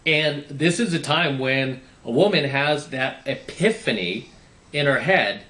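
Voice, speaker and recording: A man's voice: medium pitch, unhurried, pushed and bright, roomy sound. A man lecturing on dating in an opinionated advice monologue, sarcastic and swearing now and then. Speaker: dating commentator.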